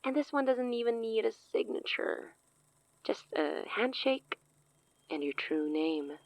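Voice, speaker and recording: An actress playing a cunning, self-assured sorceress in an audio drama, speaking in a theatrical, silky, condescending way. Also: female voice, fairly high pitch, animated, measured pace, vocal fry, dry recording, poor audio. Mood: smooth, commanding. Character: cunning, self-assured woman sorceress offering a dangerous pact.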